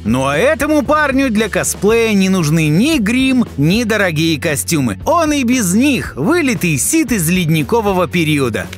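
A man's voice: high-pitched, big pitch swings, moderate pace, few pauses, soft background music. A man reading scripted narration for an entertainment video, chatty and teasing, addressing the listener directly.

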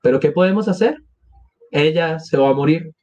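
A man voicing a sober, calming male official in a storybook reading, in a measured and subdued way. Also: a little high, muffled recording, natural voice. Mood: grave.

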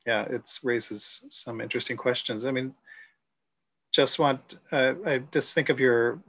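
A middle-aged man moderating a panel on a video call, talking haltingly with many fillers and restarts. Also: mid-range voice, fairly even intonation, fairly bright tone. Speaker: gallery moderator. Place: room at home. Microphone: video call webcam.

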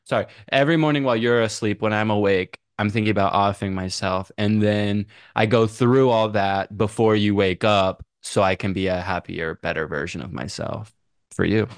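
A man's voice: lowish voice, normal speed, clear, resonant tone, no echo. A young American man in casual comedic podcast chat, rambling and irreverent, swearing freely into a close mic. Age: young adult. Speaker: podcast co-host. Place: small room indoors. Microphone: close podcast mic on boom arm.

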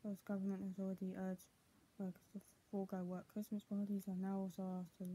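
A young woman vlogger with a husky, muffled voice, speaking at home into a tablet. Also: medium pitch, monotone, brisk, mellow, slight background noise. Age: young adult. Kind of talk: casual home vlog, partly reading aloud. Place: small room at home, indoors. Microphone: tablet built-in mic at arm's length.

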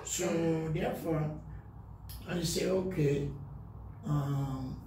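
A man's voice: on the high side, very slow, creaky. An older man from Guadeloupe, telling stories in with loose grammar and repeated phrases. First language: French. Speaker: interviewee. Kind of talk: casual storytelling. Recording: echoey room, distant mic, faint noise.

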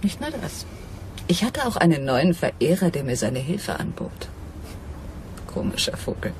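A woman's voice: low voice, big pitch swings, measured pace, background noise. A woman playing a seductive, scheming femme fatale in a crime radio play, with a languid, confiding delivery. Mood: confiding, resigned.

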